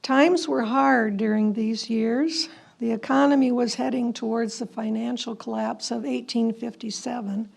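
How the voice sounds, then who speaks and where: A woman's voice: on the high side, fairly even intonation, a little slow, hardly any pauses, darkish tone. A woman giving an informal historical narration as a presenter, speaking a little hesitantly. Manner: hesitant, with frequent throat clearing. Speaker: presenter commenting on historical letters.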